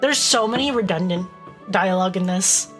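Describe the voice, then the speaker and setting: Woman streamer, stuffed-up nasal voice, mid-pitched, playful running commentary over a story game, faint music underneath. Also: moderate pace, a bit bright. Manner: playful, reads dialogue aloud and breaks off to joke. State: stuffy, congested voice. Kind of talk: reading a visual novel aloud with live chat.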